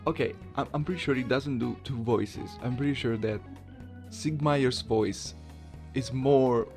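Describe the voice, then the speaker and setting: Creaky-voiced male gaming video host. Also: mid-range voice, unhurried, a little mellow, soft background music. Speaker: gaming channel host, amateur voice impersonator. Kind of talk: casual video essay commentary.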